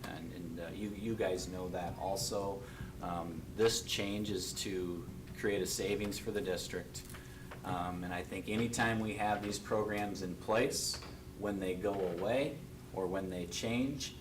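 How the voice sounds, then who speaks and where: A very low-voiced man explains budget choices at a school board meeting. He talks in a steady, explanatory way with plenty of ums. The mic sits well away from him, and a constant rumble runs underneath. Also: far from the mic. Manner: measured, explanatory, with frequent fillers. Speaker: district administrator answering board questions. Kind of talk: public meeting discussion.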